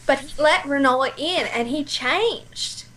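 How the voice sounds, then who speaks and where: A woman's voice: sits high, slow, a bit bright. A woman speaking as a guest in a casual chat, giving her opinions emphatically like a devoted fan. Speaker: guest voice actor.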